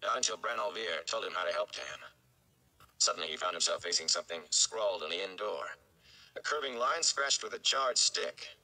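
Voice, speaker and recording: A man's voice: sits low, expressive, normal speed, gravelly, sharp tone, poor audio. A man, narrating a story from a script in a dramatic style. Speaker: audiobook-style reader. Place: small indoor room.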